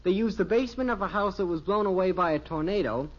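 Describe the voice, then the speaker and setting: Literal-minded teen son, high-pitched, persistent, earnest, vintage radio comedy with dull sound. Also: male voice, fast. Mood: eager, earnest. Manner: naive, casual, persistent. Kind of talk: 1950s radio sitcom acting. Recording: dull old radio recording.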